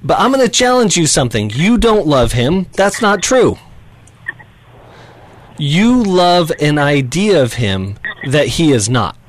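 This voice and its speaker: A man's voice: fairly high pitch, sing-song, a little slow. A man hosting a call-in advice radio show, talking directly and challenging callers.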